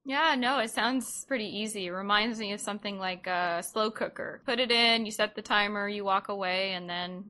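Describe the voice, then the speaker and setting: A woman's voice: mid-range voice, normal speed, hardly any pauses, pushed and bright. A woman hosting a conversational podcast interview in an encouraging, affirming manner.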